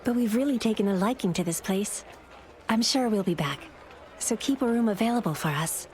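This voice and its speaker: A woman's voice: medium pitch, animated, a little fast, darkish tone, slight background noise, natural voice. A woman voicing a polite warrior companion. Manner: courteous and friendly. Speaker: hero's travelling companion. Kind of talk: game cutscene voice acting.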